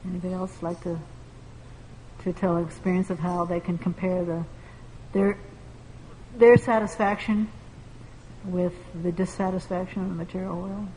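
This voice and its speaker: Woman discussion leader, low-ish voice, halting earnest delivery, roomy hall sound with faint hiss. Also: flat delivery, warm tone. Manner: earnest, halting, many fillers. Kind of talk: devotional group discussion. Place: large room with a group.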